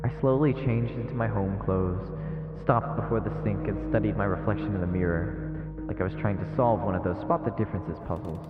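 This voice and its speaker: A man livestreaming a visual novel, reading its text aloud as expressive, dramatic narration with his own reactions. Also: low-pitched, brisk. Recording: echoey reverb, muffled, music behind. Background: game music.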